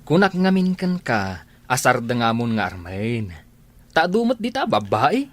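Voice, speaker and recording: A man's voice: mid-range voice, sing-song, unhurried. A man playing a frank, concerned young construction workmate in a radio drama, advising in a matter-of-fact way.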